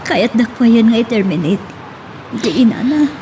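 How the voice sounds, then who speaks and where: A woman's voice: a little high, lively intonation, a little slow, dark tone, a little hiss or hum. A woman playing a plaintive, guilt-ridden pregnant migrant worker in an audio drama. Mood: distressed, fearful.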